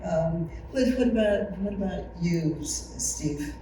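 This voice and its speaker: A man casually and encouragingly moderating a group interview, in a halting way. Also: high voice. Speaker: interviewer leading a group conversation. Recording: noisy, echoey room, far from mic.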